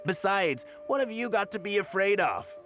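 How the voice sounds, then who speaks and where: Unscrupulous male newspaper boss for a game: higher-set voice, scornful, condescending, very animated. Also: moderate pace, over music. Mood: gloating, scheming. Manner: condescending, hectoring, slangy. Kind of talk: video game voice acting.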